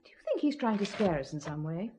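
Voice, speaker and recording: An actress playing an uneasy but witty newlywed wife in an audio mystery drama, speaking in genteel, dramatic phrases. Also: female voice, medium pitch, big pitch swings, fast, mellow, a little hiss or hum, natural voice. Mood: apprehensive.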